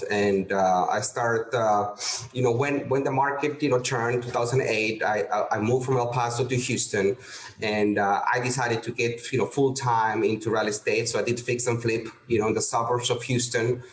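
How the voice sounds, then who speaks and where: A middle-aged man answers interview questions casually, stumbling through restarts and fillers. His voice sits at a medium pitch. The recording has a roomy, echoey sound. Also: steady tone. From Peru. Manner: halting, many restarts and fillers. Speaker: guest real estate investor.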